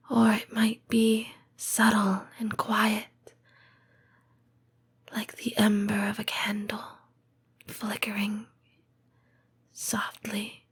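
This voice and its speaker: A woman voicing a tender, patient lamia girlfriend in an intimate comfort roleplay, breathy and whispering, with measured, formal phrasing. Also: mid-range voice, full character voice. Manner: slow, measured, formal phrasing with deliberate pauses. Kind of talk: intimate comfort roleplay audio, scripted monologue.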